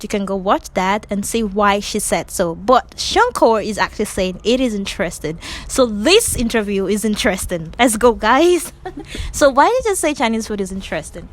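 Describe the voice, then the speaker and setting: A woman hosting a casual interview, chatty and playful, drawing out her words. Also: medium pitch. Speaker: interview host.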